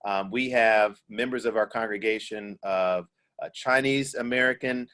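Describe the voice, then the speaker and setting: A man who pastors a church, moderating a discussion over a video call, earnest and halting with many fillers. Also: lowish voice, a bit bright.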